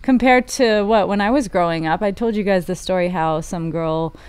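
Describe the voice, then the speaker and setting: A woman's voice: lowish voice, moderate pace, darkish tone. A woman chatting casually as a co-host in a studio. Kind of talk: casual chat.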